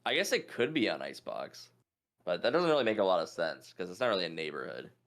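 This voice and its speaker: A man with a low, deep voice and lively, swinging intonation. He is a quiz contestant chatting casually, hesitant and informal as he reasons out loud. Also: fast talker. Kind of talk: casual chat, thinking aloud.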